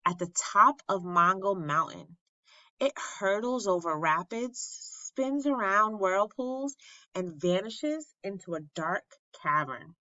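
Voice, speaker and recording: A woman reads a children's story aloud expressively, with dramatic pauses and emphasis on key phrases. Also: mid-range voice, expressive, sharp tone. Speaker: storybook reader at an elementary school. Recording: roomy, slightly dull lo-fi recording.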